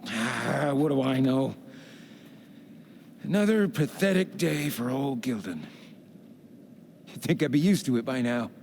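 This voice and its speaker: An actor playing a hapless, lonely, self-pitying adventurer in a video game, with a folksy, rueful way of talking. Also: male voice, mid-range voice, animated, a little slow, vocal fry, long pauses, a little mellow, slight background noise. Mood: dejected, self-pitying. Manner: folksy, colourful exclamations, rueful. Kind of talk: video game cutscene acting.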